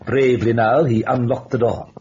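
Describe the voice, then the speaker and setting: A man's voice: mid-range voice, steady tone, normal speed, a little mellow, a little hiss or hum. A man giving suspenseful, dramatic story narration for radio in a theatrical, rhetorical way. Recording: dull old radio recording, music behind. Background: music.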